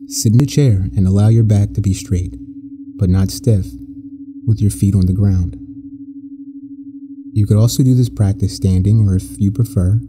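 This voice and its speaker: A man gently and soothingly narrating a scripted guided meditation in measured phrases with frequent pauses. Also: on the low side, steady tone, noisy background. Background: prominent meditation music with singing bowls.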